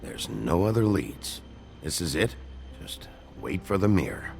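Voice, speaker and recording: A man voicing a weary, gruff sheriff in a video game, guarded and blunt, with gravelly, terse delivery. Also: big pitch swings, a little slow, darkish tone, soft background music, heavily acted. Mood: subdued. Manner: terse, low-key delivery. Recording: background score under the voice.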